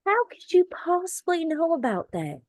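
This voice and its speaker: Woman playing a high-voiced, anxious young beauty queen. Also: very animated, normal speed, darkish tone, no echo, clean studio sound, full character voice. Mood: defensive, flustered. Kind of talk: screenplay table read acting.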